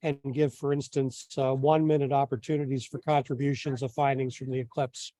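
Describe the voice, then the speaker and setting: A man taking part in a group teleconference, talking in a measured, courteous, businesslike way. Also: medium pitch, flat delivery, mellow, no echo. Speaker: meeting participant. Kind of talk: discussion on an online group call. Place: remote teleconference.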